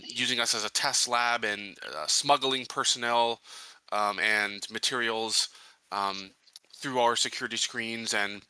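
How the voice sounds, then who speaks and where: A man playing a tabletop roleplaying game, speaking casually and haltingly as he searches for words. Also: on the low side, bright, forceful tone, no echo, poor audio.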